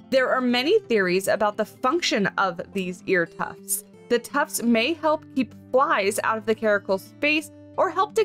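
Young woman, voice a bit rough from a cold, highish and chatty, soft music behind. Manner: chatty and excited, reads animal facts. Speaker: game channel host. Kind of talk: casual screenshot commentary.

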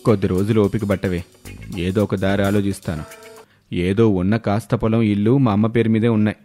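A man playing a greedy, placating elder son in an animated story, talking in a calculating way. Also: fairly low pitch, a little fast, faint music behind, pitched below the performer's own voice, close to an everyday voice. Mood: scheming.

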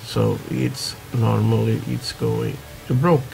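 A man from Portugal with a Portuguese accent, chatting haltingly through a hobby tutorial with many fillers, while building at a workbench. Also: fairly low pitch, little pitch movement, vocal fry, mellow, soft background music, rough recording. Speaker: scale model builder. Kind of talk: casual hobby tutorial talk. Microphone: camera over work desk, hands only.

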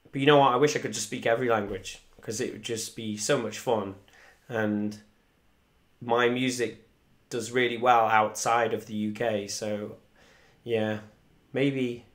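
A young man, casually chatting to viewers on a live stream from home, reading out comments. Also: mid-range voice. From Wales. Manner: chatty, rambling, reads viewer comments aloud. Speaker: musician hosting a live stream. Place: small room indoors at home. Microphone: desk microphone in front.

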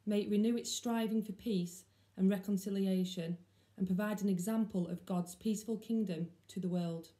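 Young woman reading out a prayer, really soft dark mellow tone. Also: medium pitch, steady tone, normal speed. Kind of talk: read-aloud prayer. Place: small plain room at home. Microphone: laptop or phone at desk distance.

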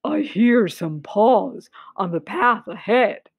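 Woman as big male monster, lowered altered voice, slow delivery, hungry, gullible, children's storybook creature. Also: expressive, vocal fry, breathy edge, no room sound, heavily acted. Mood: impressed.

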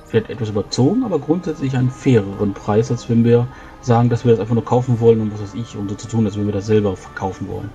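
A man improvising in-character talk as a player in a tabletop game, halting and thinking aloud. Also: lowish voice, mellow, muffled recording, soft background music. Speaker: tabletop role-playing player.

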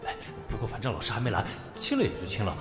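An actor playing a brash, lovesick teenage schoolboy in an audio drama, grumbling and easily exasperated. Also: male voice, fairly low pitch, wildly swooping pitch, racing, music in the background. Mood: flustered inner thoughts. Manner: foul-mouthed, grumbling, swinging into outbursts. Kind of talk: modern audio drama acting.

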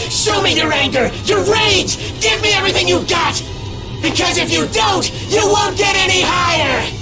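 An actor playing a deranged, battle-hungry video game villain, manic, taunting and sing-song. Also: male voice, very high voice, measured pace. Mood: manic frenzy. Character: deranged, battle-hungry male villain obsessed with his rival. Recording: loud game music behind the voice. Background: loud game soundtrack.

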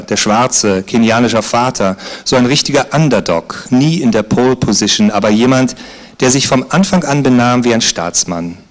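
Middle-aged man reading prose aloud. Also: mid-range voice. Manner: measured, formal literary reading. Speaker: author. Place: literary event on a stage, indoors. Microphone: handheld mic.

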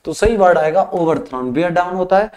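High-voiced Hindi-speaking man teaching English. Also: unhurried, a little mellow. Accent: Rajasthani Hindi. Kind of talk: exam practice lesson. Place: classroom studio with a whiteboard screen.

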